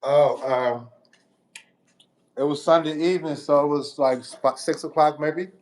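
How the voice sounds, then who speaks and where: A man co-hosting a casual livestream, trading quick joking asides with the host. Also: fairly high pitch, fairly even intonation, a little mellow.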